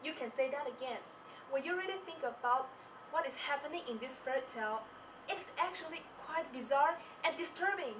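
A woman's voice: high voice, animated, fairly quick. A teenage young woman giving a scripted stage presentation as a student, with a theatrical, rehearsed delivery. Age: teens. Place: small presentation stage indoors. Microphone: far camera. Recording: noisy room, distant microphone.

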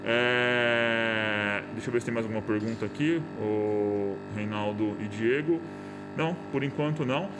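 A man's voice: lowish voice, measured pace, music in the background. A man with a Brazilian accent hosts an informal video presentation, speaking conversationally like a teacher. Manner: conversational, checks with colleague. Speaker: host, professor.

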